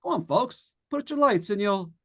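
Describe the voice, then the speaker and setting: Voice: medium pitch, lively intonation, normal speed, fairly bright tone. Jittery vintage-radio announcer in a haunted house, acted: folksy, stammering, coming apart, widely varied intonation. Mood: hushed, uneasy. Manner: folksy, stammering, addressing listeners at home. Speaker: radio drama actor. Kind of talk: old-time radio suspense acting.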